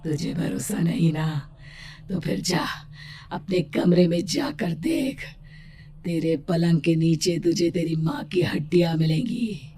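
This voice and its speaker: A woman voicing a gloating evil spirit in a cartoon horror story, taunting and threatening with drawn-out delivery. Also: low voice, dark tone, heavily acted. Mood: sinister taunting. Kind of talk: animated horror story dialogue.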